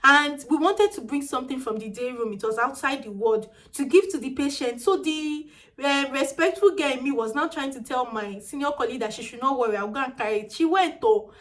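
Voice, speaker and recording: A woman's voice: high voice, fairly quick, fairly bright tone. A young woman in her twenties with a Nigerian accent and a small, tiny-sounding voice, chatting animatedly in a casual vlog and slipping in pidgin phrases. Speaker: vlogger.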